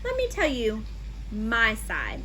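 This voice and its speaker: Woman playing a high-voiced, huffy young donkey. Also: wildly swooping pitch, slow, pushed and bright, slight background noise, heavily acted. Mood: defensive. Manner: indignant, exaggerated storybook complaining. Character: stubborn, huffy young donkey telling his side. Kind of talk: children's storybook read-aloud.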